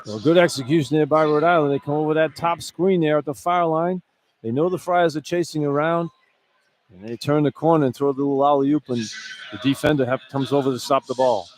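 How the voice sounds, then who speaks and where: A man's voice: fairly high pitch, steady tone, brisk. A man giving live radio color commentary on basketball, explaining plays conversationally and often repeating his phrases. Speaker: color analyst. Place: college basketball arena. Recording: arena noise behind live broadcast. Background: arena ambience.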